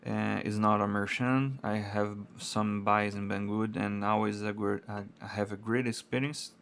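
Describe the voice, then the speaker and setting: A married man from Brazil whose first language is Portuguese, reviewing an amplifier in halting English with a Brazilian accent and frequent "uh" fillers. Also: lowish voice, little pitch movement, creaky, fairly bright tone, slight background noise. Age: adult, married. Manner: halting non-native English with many uh fillers. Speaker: product reviewer. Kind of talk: casual product review.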